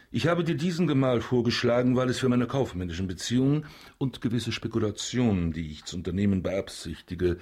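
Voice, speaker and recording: A man's voice: lowish voice, darkish tone, breathy edge. An actor playing a pompous, calculating banker and father in a radio drama, with a businesslike manner. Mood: stern, lecturing.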